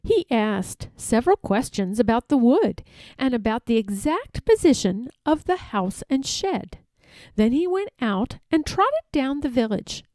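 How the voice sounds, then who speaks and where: A woman narrating a children's story aloud as an expressive storyteller, reading from scripted text. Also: fairly high pitch, a little slow, no echo.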